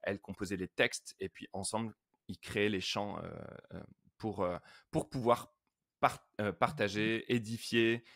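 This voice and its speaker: Low-voiced middle-aged Frenchman, casual guest. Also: steady tone, a little slow, fairly bright tone, dry recording, studio quality. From northern France. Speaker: podcast guest, worship pastor. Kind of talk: casual interview chat.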